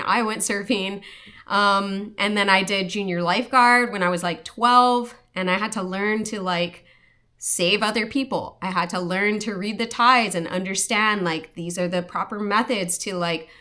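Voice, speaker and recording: A woman's voice: mid-range voice, sharp tone. A young woman from Hawaii talking casually and earnestly to camera, with lots of "like" and restarts. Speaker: vlogger. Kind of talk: casual personal talk to camera.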